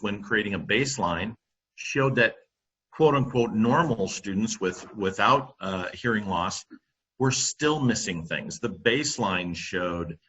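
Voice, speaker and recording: A middle-aged man giving a presentation over a computer microphone, halting often with ums and restarts. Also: lowish voice, fairly bright tone, dull audio. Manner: halting, many fillers and restarts. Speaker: company presenter on classroom audio. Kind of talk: webinar presentation.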